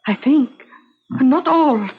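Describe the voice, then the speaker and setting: An actress playing an anguished, deferential Mexican wife in a radio drama, with a Mexican Spanish accent and hesitant, formal phrasing. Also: female voice, high voice, animated, takes it slowly, full character voice. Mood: fearful. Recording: dull radio recording with faint noise.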